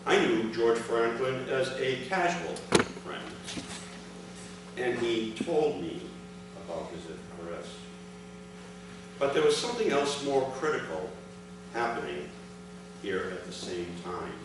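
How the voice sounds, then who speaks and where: A man reads a prepared statement in a formal, deliberate way, stressing the start of his sentences. He is recorded from far off in a very echoey room, with clear background noise. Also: medium pitch, sing-song. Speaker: speaker reading his father's prepared account. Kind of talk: formal prepared speech read aloud at a ceremony. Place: large indoor room, addressing a gathering. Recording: echoey, noisy hall recording from afar.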